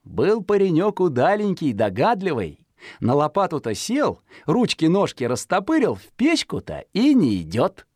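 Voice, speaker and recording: A woman's voice: lowish voice, wildly swooping pitch, moderate pace, darkish tone, breathy edge, no echo, clean studio sound. A woman storyteller narrating a children's fairy tale in a folksy, theatrical, singsong way.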